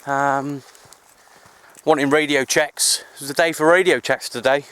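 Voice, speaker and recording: Easygoing Englishman, clear slightly high voice. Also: steady tone, unhurried, a bit bright, poor audio. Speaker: amateur CB radio hobbyist vlogger. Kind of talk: casual outdoor vlog. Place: outdoors on a hill in the countryside.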